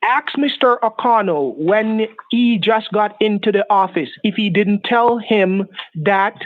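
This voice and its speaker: A man on a phone call, speaking in halting accusations and repeating himself. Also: sits high, no echo. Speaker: phone scammer. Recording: tinny dry phone line.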